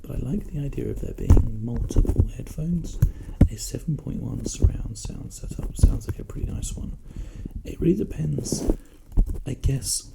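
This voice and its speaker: Very creaky soft-spoken ASMR man. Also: medium pitch. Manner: casual, reassuring, hesitant and chatty. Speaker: ASMR performer playing a personal shopper. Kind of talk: softly spoken ASMR sales role play. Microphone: binaural microphone, close. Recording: close binaural mic, clear background hiss.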